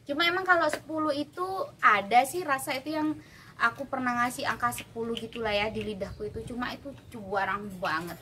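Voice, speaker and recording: A young woman from Indonesia, reviewing food in a relaxed, chatty way, with many fillers and tasting hums. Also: on the high side, fairly quick. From Java, Indonesia. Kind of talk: casual food review vlog. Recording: noisy home recording. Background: clear background noise and clicks.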